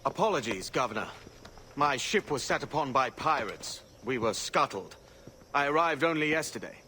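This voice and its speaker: Brash pirate, blunt, cocky, medium pitch, video game drama, noisy outdoor ambience. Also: male voice, expressive, moderate pace, sharp tone. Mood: guarded, polite. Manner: cocky, blunt, rough-edged. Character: brash young male pirate posing as an assassin.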